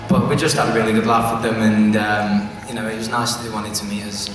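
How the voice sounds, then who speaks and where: A man's voice: on the low side, level tone, quick pace, music in the background. A young man from the United Kingdom, a singer answering at a press conference in a chatty way with many fillers. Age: young adult. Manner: chatty, laughing, full of fillers. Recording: echoey hall, far from the mic, background hum.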